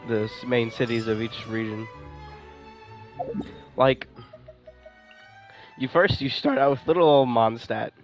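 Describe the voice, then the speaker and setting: A man's voice: mid-range voice, fairly even intonation, slow, long pauses, poor audio. A man doing casual, rambling gameplay commentary, thinking aloud and swearing now and then. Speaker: gaming streamer playing and commenting. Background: game music.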